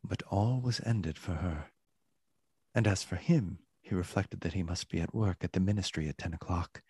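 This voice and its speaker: Male narrator for radio play, lower register, formal literary storytelling. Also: moderate pace, warm tone, slightly rough, no room sound, clean studio sound.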